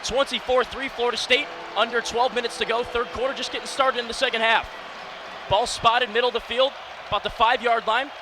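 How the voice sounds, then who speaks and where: A man in his early twenties calling live football play-by-play on radio with energetic, clipped phrasing. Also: extremely high pitch, fairly quick, a crowd in the background. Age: early 20s. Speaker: play-by-play announcer. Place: sports broadcast position inside a domed stadium. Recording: steady stadium noise behind. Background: stadium ambience.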